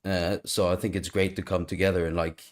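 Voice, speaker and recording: A man's voice: deep voice, level tone, a little fast. A man co-hosting a live stream, chatting casually in a rambling way with many fillers. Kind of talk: casual chat. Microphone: video call.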